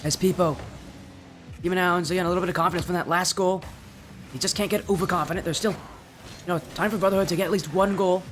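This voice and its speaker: Young man, high-pitched voice, solo esports play-by-play, slightly stuffy nose, faint background hum. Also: fast talker, pauses often. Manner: excitable, yells in high-pitched bursts at big plays. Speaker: esports caster.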